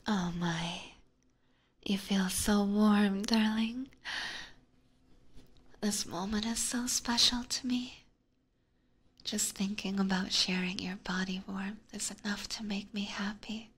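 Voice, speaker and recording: A woman voicing an adoring, possessive vampire catgirl with sweet menace, whispering slowly and tenderly in an ASMR roleplay. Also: medium pitch, steady tone. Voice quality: breathy whisper. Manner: slow, tender, coaxing.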